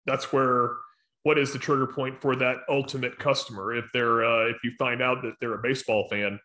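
A man with an American accent explaining things in a measured, emphatic, instructive way, as in a professional discussion. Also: medium pitch, level tone, sharp tone. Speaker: sports sponsorship professional leading a discussion.

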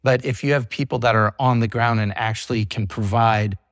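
A man's voice: mid-range voice, fairly even intonation, brisk, no room sound, clean studio sound. A middle-aged man, a company CEO, talking through his business in a casual interview. He is chatty, leans on "you know" fillers, and acts out what his clients say. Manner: quotes clients in an acted voice.

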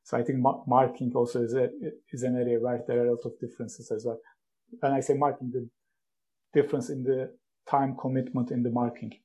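A man in his forties from Turkey, speaking English, who answers interview questions in a measured, explanatory way. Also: mid-range voice, level tone, dark tone, rough recording. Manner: measured, explanatory, with some restarts. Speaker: guest, company founder.

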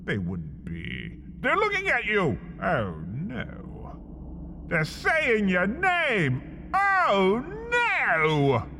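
A man reading a scripted comedic story in the second person, wry and slow, with big swings in his voice. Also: high-pitched, wildly swooping pitch, bright, forceful tone, big-room sound, a little hiss or hum. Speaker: narrator.

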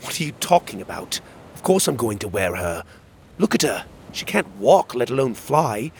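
A man's voice: mid-range voice, big pitch swings, moderate pace, vocal fry, a little hiss or hum, pitched above the performer's own voice. A man voicing an impulsive, loyal young winged friend in a fantasy story, exasperated and pleading. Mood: indignant, protective.

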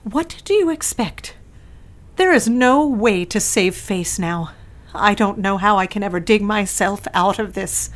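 A woman's voice: medium pitch, expressive, unhurried, lightly acted. Scornful, controlling mother played by an actress. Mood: wounded, dramatic. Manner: accusing, cutting, melodramatic. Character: cold, scornful, controlling mother of a grown daughter. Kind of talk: audio drama acting.

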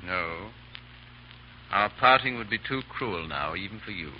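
An actor playing a gallant, suave English aristocrat in 1930s radio drama, with mockingly courteous manners. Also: male voice, sits low, expressive, unhurried. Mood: tender, grave. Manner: urbane, mockingly courteous, drawling politeness. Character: gallant, suave, foppish English aristocrat hero, calm under threat. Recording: muffled, noisy 1930s radio broadcast.

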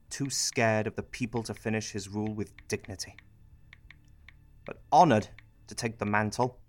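An actor in a radio play as a vain, ambitious British politician who is easily led. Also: male voice, lowish voice, close to an everyday voice.

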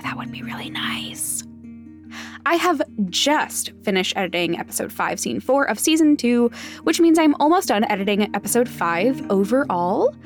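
A woman with a high-pitched voice runs through podcast housekeeping over music. The delivery is casual and playful, with a self-deprecating streak. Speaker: podcast editor and co-host.

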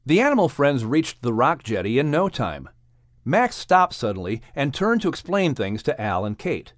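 A man's voice: mid-range voice, big pitch swings, moderate pace, no room sound, clean studio sound. A man narrating and announcing a children's story show in a scripted storyteller style.

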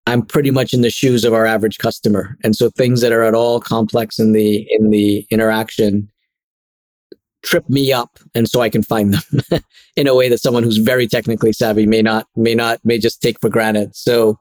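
Man from Trinidad, interview guest, measured and self-deprecating, dry recording. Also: fairly low pitch, flat delivery, darkish tone, no room sound, poor audio. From Trinidad and Tobago. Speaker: guest, product executive. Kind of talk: casual interview chat.

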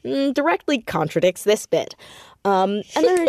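A woman speaking as a creative guest in a casual interview, animated and self-deprecating, often laughing as she talks. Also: on the high side, measured pace, no room sound, clean studio sound. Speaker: podcast guest, audio drama creator and writer.

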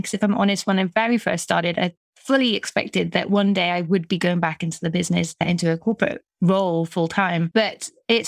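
British woman with a low, dry voice. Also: creaky, no echo. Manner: chatty, frequent restarts and small laughs. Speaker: business coach guest. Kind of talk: conversational interview.

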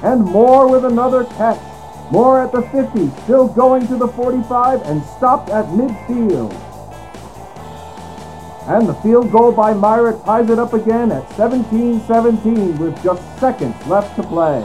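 An actor playing an excited 1950s play-by-play football announcer calling a championship game. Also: male voice, very high-pitched, measured pace, faint music behind, low-quality recording. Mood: keyed-up. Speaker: sports announcer. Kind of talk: sports broadcast commentary.

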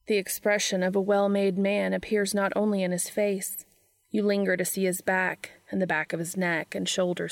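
A woman's voice: mid-range voice, no echo. A woman reading a story aloud as a scripted audiobook narration. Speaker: fan fiction reader.

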